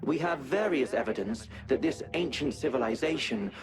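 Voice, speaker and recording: An actor playing an obsessed, embittered research scientist in a video game, earnest and intense. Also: male voice, medium pitch, lively intonation, moderate pace, close to an everyday voice. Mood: troubled. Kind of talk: dramatic video game voice acting. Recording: loud music behind the voice.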